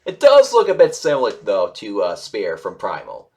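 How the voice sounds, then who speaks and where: A man with a somewhat low voice presents casual online news commentary. He moves between reading aloud and offering his own take. His delivery is halting and rambling, and he often corrects himself and sounds unsure. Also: wildly swooping pitch, darkish tone. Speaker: YouTube host reviewing animation news.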